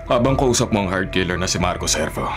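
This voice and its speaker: Principled Filipino man, radio drama, accusing and earnest, expressive pitch, music underneath. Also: fast talker. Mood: stern accusation. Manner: earnest, stern. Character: righteous, accusing man confronting a criminal woman.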